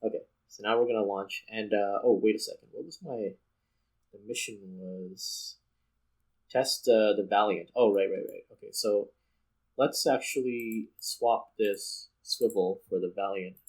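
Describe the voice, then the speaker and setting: A man's voice: fairly low pitch, unhurried, poor audio. A man giving casual gameplay commentary as host, thinking aloud step by step. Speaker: host playing a space flight game.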